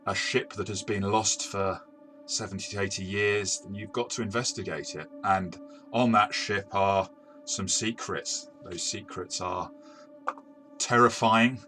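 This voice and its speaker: A man's voice: on the low side, fairly even intonation, a little slow, bright, forceful tone, faint music behind. A man co-hosting a review, talking in a casual, discursive and explanatory way. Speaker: co-host and game reviewer.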